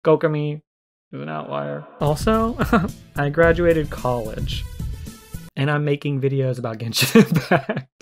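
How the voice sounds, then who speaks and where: A man's voice: on the high side, measured pace, creaky, faint music behind. A young man giving conversational, argumentative video commentary, explaining his points and restating them for emphasis. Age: young adult. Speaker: video essayist responding to a streamer.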